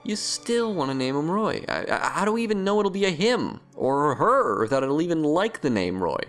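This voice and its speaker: Man acting a lively, jovial teasing scientist. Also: on the high side, fairly quick, soft background music. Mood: playful banter. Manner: bantering, mock-indignant. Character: jovial, teasing male research scientist, football fan. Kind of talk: audio story character acting.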